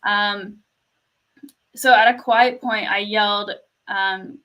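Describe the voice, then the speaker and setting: A young woman from Canada with a fairly quiet speaking voice, telling a story in casual chat with lots of "like" and laughter. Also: mid-range voice, fairly even intonation, slow pace, highs cut off. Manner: storytelling, laughs often, says "like" a lot.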